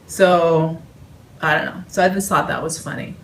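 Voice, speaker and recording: A woman with a Canadian accent, her voice sitting a little low, chatting casually with lots of fillers. She is recorded at a dining table at home by a camera some distance away, in an echoey room with faint hiss. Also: fairly even intonation, moderate pace. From Toronto. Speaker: vlog host. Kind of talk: casual announcement. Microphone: camera across the table.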